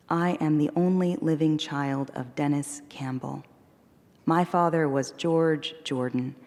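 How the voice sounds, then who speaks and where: A woman poet giving a measured, deliberate reading of her poems at a live event. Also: low-pitched, creaky, dark tone, in a large hall. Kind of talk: poetry reading. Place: performing arts center hall.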